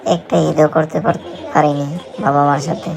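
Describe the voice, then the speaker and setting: A man's voice: a little high, little pitch movement, normal speed, gravelly, a crowd in the background, background noise. A man playing a weary, homesick migrant worker in a cartoon, speaking in an earnest, lamenting way. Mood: sorrowful. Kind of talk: scripted cartoon dialogue.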